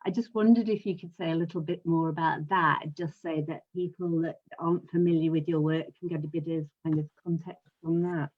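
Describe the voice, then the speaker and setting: A woman hosting an online talk, asking her guest gentle, hesitant questions over a video call. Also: low voice, steady tone, a little fast, hardly any pauses, lo-fi sound. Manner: gentle, hesitant, many fillers. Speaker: host. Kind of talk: interview questions.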